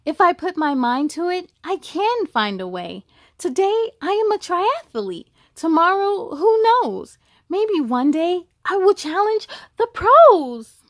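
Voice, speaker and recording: A woman's voice: extremely high pitch, sing-song, slow, no room sound, studio quality, voice pushed up, full character voice. A woman voicing a determined, upbeat female triathlete from a children's storybook in an earnest, emphatic way. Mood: proud, hopeful.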